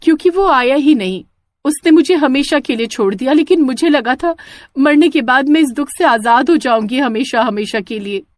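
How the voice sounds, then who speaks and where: Woman voicing a high-pitched possessive young ghost bride. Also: normal speed, no room sound, close to an everyday voice. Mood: wounded. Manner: insistent, confrontational.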